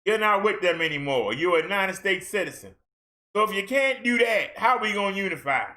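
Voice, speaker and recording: A man vlogging a heated rant in casual colloquial speech, firing off rhetorical questions and at times shouting. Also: sits high, animated, a little fast. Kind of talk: ranting social commentary.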